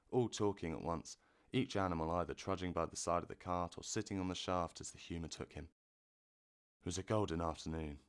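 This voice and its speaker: A man narrating a classic storybook in a measured, expressive reading. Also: sits low.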